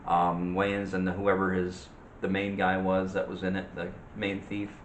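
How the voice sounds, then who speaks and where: A man giving casual movie opinions as a co-host in a halting, rambling way. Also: deep voice, monotone. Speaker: co-host of a movie discussion show. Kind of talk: casual chat about movies. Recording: roomy echo with light background noise.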